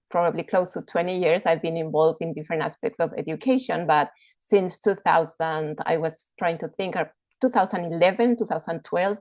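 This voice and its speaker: Woman from Ecuador, low and unhurried. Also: a little mellow, no echo, muffled recording. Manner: thoughtful, searching for words, many fillers. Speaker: educator and homeschooling parent. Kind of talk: casual conversational introduction.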